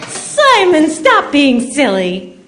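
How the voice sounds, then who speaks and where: A woman's voice: sits high, huge pitch swings, slow, fairly bright tone, full character voice. A woman playing a sweet, sensible teenage daughter in an old-time radio comedy. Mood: exasperated. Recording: roomy live stage.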